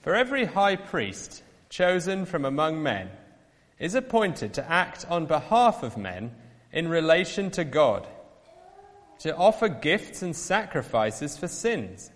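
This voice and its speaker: A man reading scripture aloud in church, slow, solemn and lilting. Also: a little high, fairly bright tone, big-room sound. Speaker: Bible reader.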